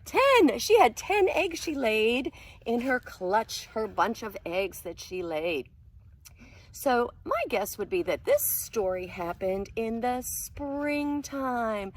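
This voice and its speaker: A woman with a high, slightly husky voice reads aloud to small children. She is unhurried and expressive, her pitch swinging in a sing-song way as she explains things like a preschool teacher. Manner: expressive, sing-song, often drops to a hushed whisper; animated, asks questions and explains words to children. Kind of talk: picture book read-aloud with explanations to young children.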